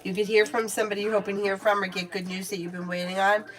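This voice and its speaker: A woman's voice: mid-range voice, little pitch movement, racing, crowd noise. A woman giving tarot readings on a casual livestream, chatting candidly, swearing freely and calling viewers by name. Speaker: tarot reader answering viewer questions.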